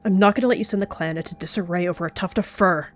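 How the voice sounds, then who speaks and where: A woman's voice: fairly low pitch, rapid-fire, faint music behind, close to an everyday voice. A woman as a secretive guilty she-cat. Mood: cold. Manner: tense, hushed, defensive.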